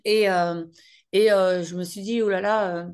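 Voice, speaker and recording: A woman in her forties, from northern France, speaks as a guest at an online meeting. Her voice sits in a middle pitch. She is chatty and friendly, and she speaks straight to her listeners. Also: fairly even intonation, moderate pace, darkish tone, clean tone. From northern France, Picardy. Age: 40s. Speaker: invited guest speaker, business mentor. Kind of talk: casual warm talk to an online group.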